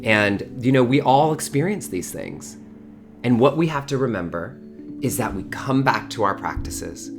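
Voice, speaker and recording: A man in his early thirties hosting an informal online spiritual community gathering, talking effusively and repeating words for emphasis. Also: fairly low pitch. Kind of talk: informal livestream talk to a community.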